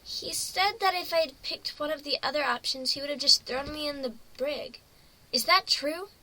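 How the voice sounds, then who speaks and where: Woman acting a high, bright-voiced excitable young female pony. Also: moderate pace, low-quality recording. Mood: dejected, questioning. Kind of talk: dramatic reading of a fan fiction story.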